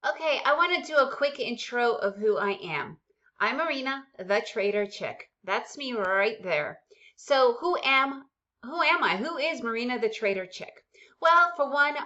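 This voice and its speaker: Woman host, first language Russian, chatty and upbeat, voice on the higher side, slightly muffled recording. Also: fairly bright tone. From Soviet Union, raised in the United States. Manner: upbeat, chatty, asks viewers questions. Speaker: day-trading channel host. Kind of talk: casual direct-to-camera vlog.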